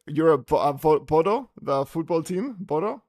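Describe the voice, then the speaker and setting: A man co-hosts a casual interview podcast, asking questions and reacting conversationally. His voice runs a bit high for a man and has clear vocal fry. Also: lively intonation, normal speed, darkish tone. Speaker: podcast co-host.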